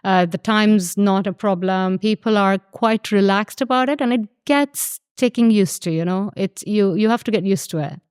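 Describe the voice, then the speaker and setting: Woman, from pakistan, thirties, smooth resonant voice, dry close sound, chatty. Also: mid-range voice, moderate pace, hardly any pauses, a little mellow, no echo. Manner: chatty, laughs easily, many fillers. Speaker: guest, fashion designer. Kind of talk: casual interview chat.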